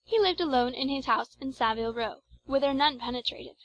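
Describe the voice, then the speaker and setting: A woman narrates an audiobook of classic fiction. Her voice sits somewhat high, and her delivery is measured and formal, as scripted storytelling. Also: fairly bright tone, highs cut off.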